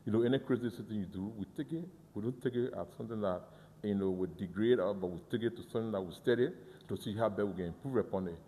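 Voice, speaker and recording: A man guiding a museum tour, earnest and halting, often repeating and restarting words. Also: lowish voice, mellow, long reverb tail. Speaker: museum guide. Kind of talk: guided tour interview.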